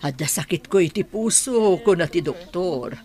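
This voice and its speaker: A woman playing an ailing older village woman, plaintive and talkative, with a husky voice in a radio drama. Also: lowish voice, animated, normal speed, mellow, lightly acted. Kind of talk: Ilocano radio drama acting.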